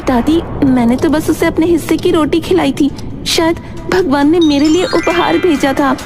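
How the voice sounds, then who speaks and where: A woman's voice: sits high, normal speed, over music, voice pushed up. A woman voicing a humble, devout young village girl in a cartoon story, earnest, sweet and respectful. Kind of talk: Hindi cartoon moral story acting.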